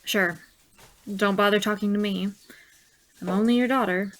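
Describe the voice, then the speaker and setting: A woman's voice: mid-range voice, steady tone, moderate pace, a bit bright, lightly acted. An actress playing a young woman, the sullen and sarcastic daughter of a scientist, in an audio drama. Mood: sulky.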